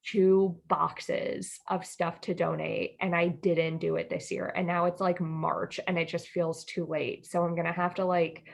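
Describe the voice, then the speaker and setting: Young American female co-host, lower register, slow informal delivery, conversational. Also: level tone, vocal fry. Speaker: podcast co-host. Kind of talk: casual chat.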